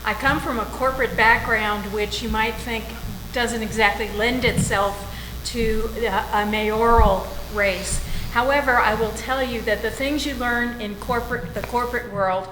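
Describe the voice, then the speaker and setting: A woman's voice: fairly high pitch, steady tone, noisy background. Woman candidate in an echoey hall. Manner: measured, with frequent filler pauses. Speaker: mayoral candidate. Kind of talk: opening statement at a public forum.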